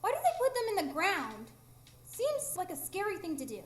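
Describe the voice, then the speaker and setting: A woman's voice: very high-pitched, huge pitch swings, normal speed, exaggerated voice. A woman playing a tiny, mischievous, blunt fairy with a childlike, teasing manner in a live radio drama. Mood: blunt, matter-of-fact. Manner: childlike, teasing, matter-of-fact. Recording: echoey room, live stage recording.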